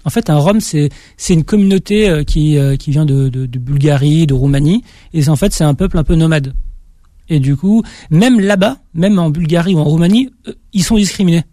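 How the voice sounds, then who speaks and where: A man's voice: fairly high pitch, fairly quick, warm tone, dry recording, studio quality. A young man from France with a slight Auvergne accent, an author answering interview questions in a hesitant way, with frequent restarts. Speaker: author guest. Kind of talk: interview conversation.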